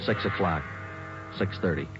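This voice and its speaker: An actor playing a calm, clipped male police sergeant in a 1940s radio drama. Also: low-pitched, moderate pace, music in the background, lightly acted. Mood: narrating. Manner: flat, clipped delivery. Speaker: detective narrator. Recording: dull old radio transcription.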